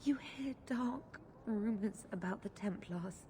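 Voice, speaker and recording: A woman's voice: mid-range voice, slow pace, dark tone, a little hiss or hum, natural voice. A woman playing an anxious pleading sister. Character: worried pleading young sister.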